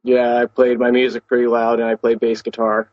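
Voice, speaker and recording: A young man, the client's son, giving brief, low-key replies. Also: monotone, a little fast, dry recording. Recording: dull lo-fi recording.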